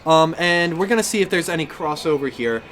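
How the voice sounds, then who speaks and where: A man's voice: a little high, lively intonation, fairly quick, a bit bright. A man hosting a podcast in casual gaming chat, talking in a rambling, self-correcting way. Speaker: podcast host.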